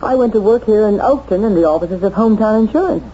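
A young female office worker, acted by a woman in a vintage radio drama. She is guarded but chatty, mellow and very expressive in pitch. The old recording is muffled with faint noise. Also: fast, lightly acted. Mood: uneasy recollection. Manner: conversational, hesitant, emphatic exclamations. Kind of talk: 1970s radio drama acting.

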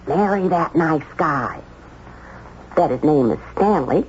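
A woman's voice: deep voice, lively intonation, slow pace, darkish tone. An actress playing a brassy, meddling cleaning woman in a 1970s radio drama, slangy and blunt as she hands out advice. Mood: urgent, needling. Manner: slangy, blunt, wheedling know-it-all. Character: brassy, streetwise, meddling cleaning woman, woman married to an older man. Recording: dull vintage radio recording, faint noise.

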